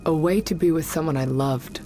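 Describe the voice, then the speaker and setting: A woman's voice: deep voice, brisk, warm tone, soft background music, natural voice. An actress playing a guarded, sorrowful businesswoman on the run in an audio drama, speaking in a terse, subdued and resigned way. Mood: resigned, sad. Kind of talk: naturalistic audio drama acting.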